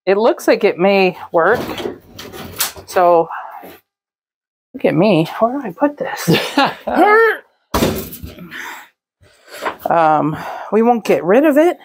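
A young woman casually narrating a walkthrough of a camper she is fixing up, relaxed and chatty with frequent ums. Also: mid-range voice, very slow, pauses often, a little hiss or hum. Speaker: vlog host. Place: inside a gutted camper trailer.

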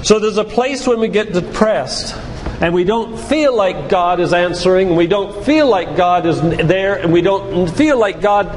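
An older man giving a sermon-like lecture with scripture readings, speaking in a deliberate, emphatic way with pauses. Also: high-pitched. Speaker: physician giving a church lecture. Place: large church room, live talk. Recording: echoey hall with background noise.

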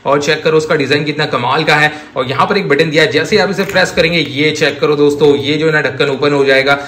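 A man with an Indian accent giving a gushing, enthusiastic toy demonstration as a review host, excitable and repetitive. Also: on the high side. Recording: echoey room with faint noise.

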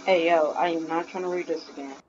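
A woman's voice: low voice, fairly even intonation, brisk, muffled recording, noisy background. A woman giving casual commentary over a game. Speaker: gaming video commentator.

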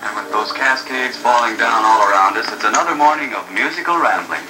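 A man's voice: mid-range voice, fairly quick, rough recording. An American man announcing in formal, theatrical 1940s radio style, on an old broadcast played through a small speaker. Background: music.

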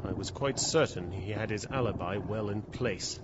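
A man's voice: lowish voice, normal speed, lightly acted. An actor playing a terrified, trapped man who recounts his ordeal in suspenseful, theatrical audio drama narration. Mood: hushed dread. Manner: suspenseful, theatrical, swinging from hushed to frantic. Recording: dull, lo-fi audio with loud background noise.

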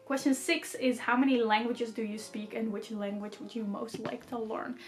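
Chatty young Dutch woman, bright voice. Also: fairly high pitch, steady tone, moderate pace, faint music behind. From Netherlands or Flanders. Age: 20s. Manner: chatty, laughs often, many "like" fillers. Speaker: book vlogger. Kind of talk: casual chat to camera while doing makeup. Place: small decorated bedroom, indoors.

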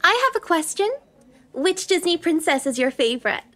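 A sweet little girl in a comedy audio drama. The voice is very high and small. She sounds earnest and adoring. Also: animated, normal speed, fairly bright tone.

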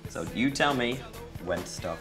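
A young Irish man works as a backstage reporter. His playful, casual talk has very animated pitch, and faint music sits behind him in the corridor. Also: normal speed. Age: 20s.

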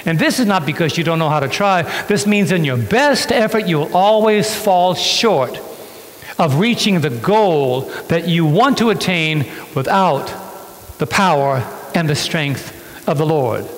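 A man's voice: fairly high pitch, animated, measured pace. A man preaching a sermon as a pastor, emphatic, stretching key words and putting questions to his listeners. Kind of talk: sermon with rhetorical questions to congregation. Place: church hall, on stage. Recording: reverberant church hall sound.

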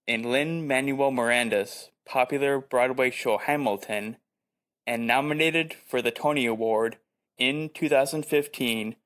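A man's voice: mid-range voice, fairly bright tone. A man narrating a scripted audio review as a podcast host.

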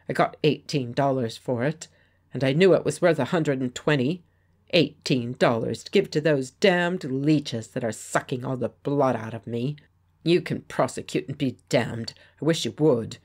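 A woman's voice: very deep voice, normal speed, darkish tone, slightly rough. A woman reading a surly, bitter working man. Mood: defensive. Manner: blustering, defensive, bitter. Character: surly, frightened poor male painter. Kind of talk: audiobook character voice.

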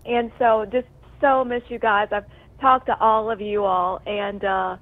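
A woman's voice: medium pitch, little pitch movement, unhurried. A woman talking casually and heartfelt over a phone line, effusive and rambling, with lots of emphasis. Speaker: TV news presenter on medical leave, calling in. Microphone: telephone call.

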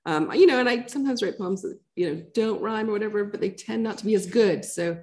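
A woman's voice: medium pitch, fast, darkish tone. A middle-aged woman poet answering audience questions in a reflective, conversational way, thinking aloud as she goes. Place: lecture room with a projection screen.

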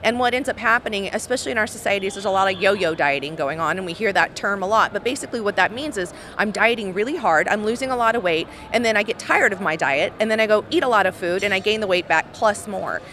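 A woman's voice: medium pitch, quick pace, few pauses, a bit bright, a little hiss or hum. Confident woman fitness coach explaining. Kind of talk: interview answers. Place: large indoor expo hall, in front of a backdrop. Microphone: handheld microphone held by host.

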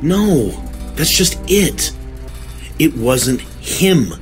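An actor playing an earnest, troubled husband, recounting strange events emphatically in a horror audio drama. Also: male voice, on the high side, sing-song, drawn-out words, faint music behind, full character voice. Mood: insistent.

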